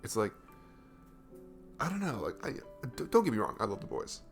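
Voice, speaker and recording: A lowish male voice , performed by an actor plays an affectionate young best friend in a romantic roleplay audio. He rambles in a casual, goofy, gushing way, as if chatting one to one. Faint music plays behind him. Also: very animated, a little fast. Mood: fond, earnest. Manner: rambling, gushing, informal, chuckling between phrases. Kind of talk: romantic ASMR roleplay audio, casual improvised-sounding chat.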